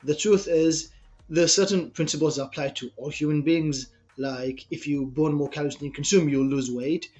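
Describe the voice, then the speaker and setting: A man's voice: on the high side, steady tone, moderate pace, darkish tone, highs cut off. A young man with an Israeli accent, a personal trainer answering podcast interview questions in an earnest, explanatory way.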